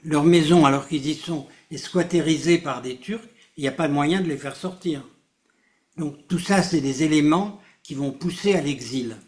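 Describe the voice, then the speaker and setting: A man, a guest on a radio show, explaining at length in a measured way. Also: on the high side, darkish tone. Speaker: author's relative, guest.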